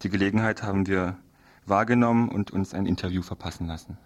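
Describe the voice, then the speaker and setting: A man with a fairly low voice presents on radio. He gives a semi-scripted rundown of upcoming reports. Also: steady tone, fast talker. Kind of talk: semi-scripted programme preview.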